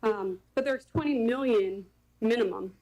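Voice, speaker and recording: A woman's voice: fairly high pitch, a little slow, darkish tone, low-quality recording. Pointed, sarcastic woman at a council meeting. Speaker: activist speaking at a council meeting. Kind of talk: public comment at a meeting.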